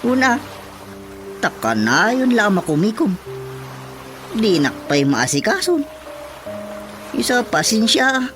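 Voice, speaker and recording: A man's voice: high voice, wildly swooping pitch, slow pace, pauses often, over music, exaggerated voice. A man playing a grumbling, dissatisfied husband in an Ilocano radio comedy drama.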